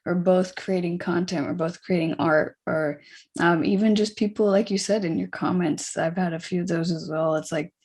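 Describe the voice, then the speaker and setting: A woman's voice: fairly low pitch, fairly even intonation, normal speed, darkish tone, husky. A woman hosting a podcast on a video call, chatting casually and encouragingly with a guest. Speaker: podcast host. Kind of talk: casual interview chat.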